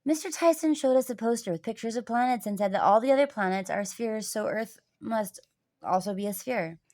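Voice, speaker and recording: A woman's voice: a little high, animated, fairly quick, a little mellow, lightly acted. Woman reading as insistent, inquisitive storybook schoolboy. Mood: reporting. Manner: insistent, questioning. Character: inquisitive, stubborn schoolboy challenging what he is taught.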